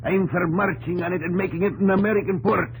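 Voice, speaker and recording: Blunt, folksy frontier farmer, old radio. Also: male voice, sits high, steady tone, fast talker. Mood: urgent. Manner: emphatic, folksy. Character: blunt, excitable frontier farmer from Mississippi country, male. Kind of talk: 1950s radio drama acting. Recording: noisy old 1950s radio recording.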